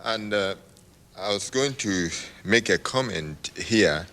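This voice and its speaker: Man, from Cameroon, audience question, halting, restarts often, bright tone, animated pitch, reverberant hall. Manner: halting, many restarts, laughs while talking. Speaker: audience member. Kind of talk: asking a question at a public talk.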